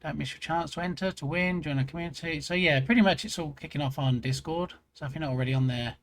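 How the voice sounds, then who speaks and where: A man's voice: a little high, fast, a bit bright. A man streaming live, chatting casually and joking at his own expense. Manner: chatty, self-mocking, rambling. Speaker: game dev streamer.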